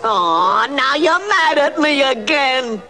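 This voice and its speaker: A man's voice: very high voice, very animated, slow pace, heavy background noise, heavily acted. A man voicing a big dim-witted gangster henchman, eager to please, dopey and stammering. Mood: pleading. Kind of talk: classic theatrical cartoon acting. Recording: old cartoon soundtrack with loud music.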